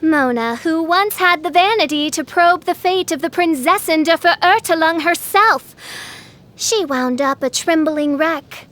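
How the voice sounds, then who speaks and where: A woman's voice: very high-pitched, unhurried, fairly bright tone. A woman voicing a grandiose, theatrical teenage girl who styles herself a princess, speaking in pompous archaic phrases as a video game character. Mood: haughty, mysterious. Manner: pompous, archaic, dramatic royal speech.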